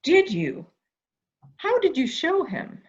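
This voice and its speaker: A woman's voice: very high-pitched, slow, exaggerated voice. Woman playing a coaxing, wary lady, swooping intonation. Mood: gently probing. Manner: soft questioning, flattering, theatrical. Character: coaxing, anxious woman humoring a dangerous madman. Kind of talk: amateur murder mystery audio drama acting. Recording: dull, muffled lo-fi sound.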